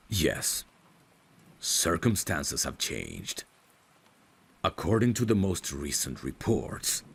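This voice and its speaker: Male actor as courtly vampire butler, extremely low voice, slow measured delivery, formal and caring, ASMR roleplay. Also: vocal fry, a little hiss or hum, full character voice. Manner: formal, deferential, measured, gently solicitous. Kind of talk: ASMR audio drama roleplay.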